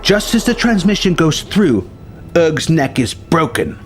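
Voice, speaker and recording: A man telling a film story as a narrator, with a dramatic delivery and pauses for suspense. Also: a little high, very animated, slight background noise. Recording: music bed under the voice. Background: music.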